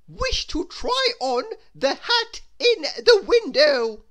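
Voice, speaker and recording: A woman voicing a vain, haughty storybook lady who announces things loftily in a loud, important voice. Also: sits high, big pitch swings, slow pace, voice pushed up, heavily acted. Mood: haughty, imperious. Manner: lofty, grand, announcing loudly. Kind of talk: children's storybook character voice.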